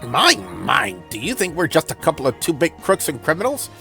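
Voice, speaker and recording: A man's voice: on the high side, very animated, gravelly, sharp tone, faint music behind, exaggerated voice. Man voicing a sly, mock-sweet villainess. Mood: condescending, gloating. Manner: drawn-out condescending endearments.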